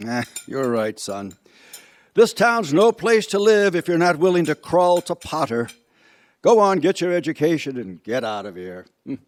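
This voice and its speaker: An actor in a live radio play playing a gentle, weary older father, hesitant, earnest and folksy. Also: fairly high pitch, sing-song, normal speed, no room sound. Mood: resigned.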